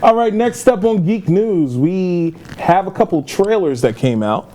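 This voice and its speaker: A man's voice: high voice, expressive, a little slow, warm tone. A man hosting in casual chat, easygoing and questioning as he moves the conversation along. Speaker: podcast host moving through news topics. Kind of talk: casual chat about games and movies.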